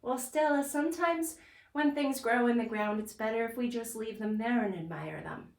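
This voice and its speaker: A woman storyteller leading an interactive puppet story for children in a gently instructive way, using simple phrases. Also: on the high side, moderate pace. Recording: echoey room.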